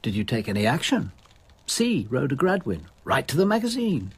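Husky, lively-pitched police detective. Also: male voice, moderate pace. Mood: measured, incisive. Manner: formal, precise, insistent. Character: probing, composed male police detective inspector. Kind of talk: British radio drama acting with narration.

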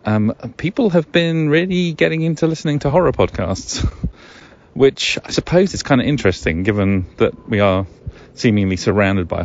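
A middle-aged man, musing alone as a podcast host in a rambling, wry, hesitant way full of wordy asides. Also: lowish voice. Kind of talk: musing solo podcast monologue. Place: outdoors in the woods. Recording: dull lo-fi recording, ambient noise.

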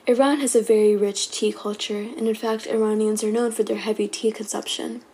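Mellow woman reading a scripted history. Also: medium pitch, flat delivery, low-quality recording. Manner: measured, read from a script. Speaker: podcast narrator. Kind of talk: scripted narration.

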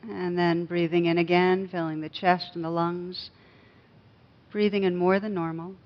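A woman's voice: on the low side, little pitch movement. Woman guiding meditation, slightly muffled recording. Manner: gentle, unhurried, with pauses. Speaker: meditation teacher. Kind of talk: guided meditation.

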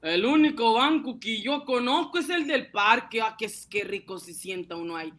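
A man acting a simple, excitable, poor ice-cream vendor and husband in a radio serial, with folksy, naive exclamations. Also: high-pitched, normal speed. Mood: naive puzzlement.

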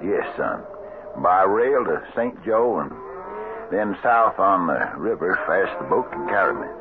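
An actor playing a good-natured older cattle drive boss in a radio western, hearty and folksy. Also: male voice, low voice, lively intonation, a little slow. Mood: amiable. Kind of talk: old-time radio western acting. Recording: noisy, dull old radio recording.

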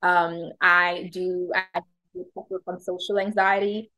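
A woman guest on a podcast with a stutter, telling her story in a candid, animated way and laughing often. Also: lowish voice, a little slow, low-quality recording. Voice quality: has a stutter. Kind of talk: casual conversational interview.

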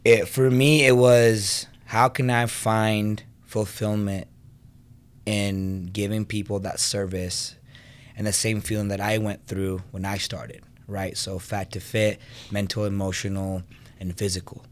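A man's voice: lowish voice, little pitch movement, measured pace. A young man from Venezuela, a guest on a podcast, talking casually about his life with lots of fillers. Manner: personable, many fillers. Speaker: guest, fitness business co-owner. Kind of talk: casual interview chat.

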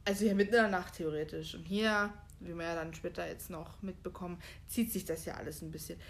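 A woman's voice: fairly low pitch, steady tone, fairly quick, slight background noise. A woman co-hosting a podcast, chatting casually and thinking aloud with loose asides. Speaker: podcast co-host. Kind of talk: casual chat, discussing a book and film.

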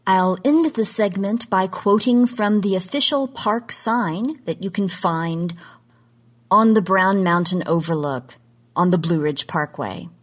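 A woman's voice: mid-range voice, unhurried. A woman presenting a scripted podcast segment on genre history in an articulate, emphatic, lecture-like manner.

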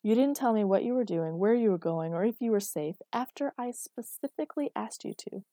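A woman's voice: on the high side, lively intonation, moderate pace, creaky, dark tone, breathy edge, no room sound, clean studio sound, pitched above the performer's own voice, exaggerated voice. A woman voicing an angry, controlling but protective and exasperated man in a dramatic story reading. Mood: angry, accusing.

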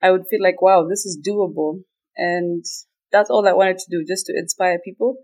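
A woman in her twenties from Kenya, a guest in a casual interview who talks in a relaxed way and laughs often. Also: fairly low pitch, moderate pace, poor audio. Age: 20s.

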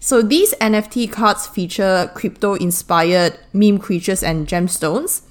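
A woman co-host introducing a podcast guest in a brisk, casual way. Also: mid-range voice.

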